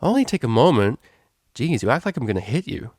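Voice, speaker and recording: A man's voice: mid-range voice, big pitch swings, a little mellow, husky, no room sound, studio quality. A man voicing a brash, scheming young unicorn mare with a slangy, pushy way of talking in a storybook reading. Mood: brash, calling out. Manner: slangy, pushy, hesitant pauses.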